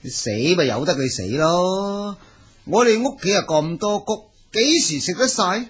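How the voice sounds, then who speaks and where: An actor playing a lazy, blustering farmer husband in a Cantonese folk tale, whiny and bossy. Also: male voice, high voice, lively intonation, measured pace, breathy edge, full character voice.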